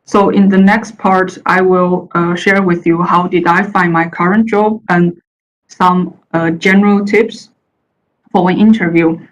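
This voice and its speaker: Casting: woman, native chinese speaker, lowish voice, lots of uh's, informal talk. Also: steady tone, unhurried, rough recording. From China. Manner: hesitant, many uh fillers and restarts. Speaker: panel speaker. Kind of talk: presentation talk. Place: the Netherlands.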